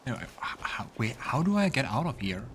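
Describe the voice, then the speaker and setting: A man's voice: medium pitch, sing-song, brisk, fairly bright tone, a little hiss or hum. A man giving casual let's play commentary and narrating scenes from a fantasy game. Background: game music.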